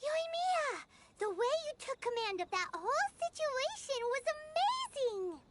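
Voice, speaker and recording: An actress voicing a tiny, excitable childlike companion with a squeaky voice in an anime game. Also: female voice, a little slow, exaggerated voice. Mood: excited, admiring.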